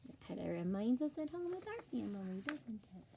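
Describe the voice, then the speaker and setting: A woman teacher reading a children's storybook aloud in an expressive way, with deliberate emphasis. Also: a little high, gravelly, a little hiss or hum.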